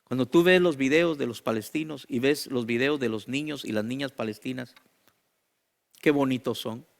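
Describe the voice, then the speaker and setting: Casting: male preacher, Guatemalan accent, mid-pitched with a creaky grain, emphatic sermon delivery built on repetition and pauses. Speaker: pastor and teacher. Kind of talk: sermon to a congregation.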